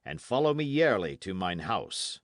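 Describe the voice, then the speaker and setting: A man voicing a forceful, boastful knight of old England in a storybook, with archaic, declamatory speech full of oaths. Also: low-pitched, big pitch swings, moderate pace, no echo, clean studio sound. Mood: brusque, commanding. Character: forceful, vengeful old-time English knight, boastful and commanding.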